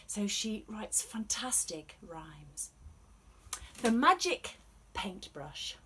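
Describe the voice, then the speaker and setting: A woman telling stories to children, explaining things gently in an unhurried, expressive read-aloud way. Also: medium pitch, a little hiss or hum. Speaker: storyteller.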